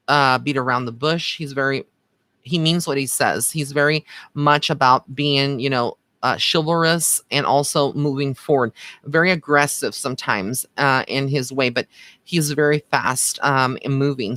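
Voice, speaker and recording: Bright-voiced man chatting casually on a livestream. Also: mid-range voice, no room sound. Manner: informal, chatty, addresses listeners with endearments. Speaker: psychic medium giving live card readings. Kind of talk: casual livestream chat with viewers.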